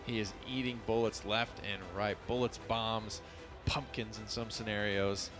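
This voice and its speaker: Low-toned male caster over music. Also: flat delivery. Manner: casual, wry asides and quick jokes. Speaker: esports caster. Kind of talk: live esports commentary. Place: esports expo hall arena.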